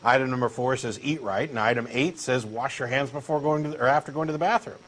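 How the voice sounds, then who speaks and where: A man's voice: medium pitch, fast talker, a bit bright. A man with a Texan accent reading a comic dialogue as an eager, insistent believer. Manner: eager, insistent true believer, earnest and pushy. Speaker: co-host doing a comic dramatic reading.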